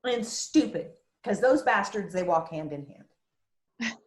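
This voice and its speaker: A woman acts out a middle-aged small-town single mother in a dramatic script read. She is fearless, plain-spoken and protective of her child. Her medium-pitched voice sounds in a roomy, echoey space. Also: animated, slow pace. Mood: firm, tender. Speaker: actor in a screenplay table read. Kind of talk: dramatic screenplay table read.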